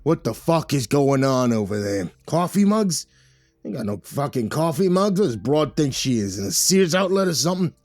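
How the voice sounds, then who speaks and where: A man voicing a gruff, crude, irritable mob boss, in an audiobook. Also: a little high, very animated, normal speed, a little mellow, no room sound. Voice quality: slurred, growling. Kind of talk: audiobook character voice.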